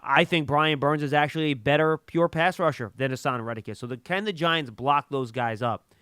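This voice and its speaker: A man's voice: a little high, a little fast, a bit bright, clean tone, dry recording, clean studio sound. A man hosting a sports podcast, giving conversational, analytical game preview commentary. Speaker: podcast host.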